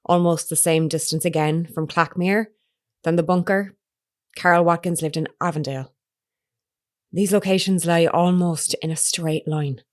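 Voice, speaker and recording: Low-voiced, determined investigator. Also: female voice. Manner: pointed, probing, wry. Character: determined woman investigator, wry, wounded, confronting former partner. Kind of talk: audio drama acting.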